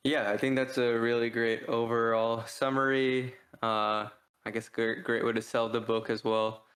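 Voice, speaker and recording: A man hosts a casual podcast discussion in a clear, smooth voice. His mid-range pitch stays quite flat as he talks. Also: moderate pace. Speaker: podcast host.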